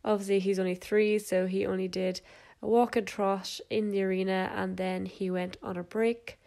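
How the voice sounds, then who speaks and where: A woman's voice: mid-range voice, level tone, moderate pace, creaky, warm tone. A young woman, stuffed up and nasal from a cold, casually narrating videos of her horse. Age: young adult. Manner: casual, chatty, with fillers and little laughs. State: congested with a cold. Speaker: equestrian vlogger.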